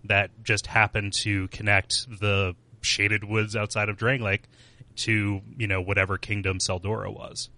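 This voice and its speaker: A man's voice: fairly low pitch, little pitch movement, a little slow, sharp tone, no echo. A man co-hosting a podcast, casual and jokey, laughing often. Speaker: podcast co-host. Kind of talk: casual chat.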